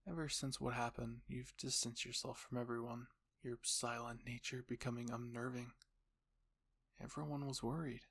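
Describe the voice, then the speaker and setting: Male narrator with a slightly husky, creaky voice. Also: medium pitch, level tone, moderate pace, fairly bright tone. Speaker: narrator of a roleplay story. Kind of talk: scripted second-person narration.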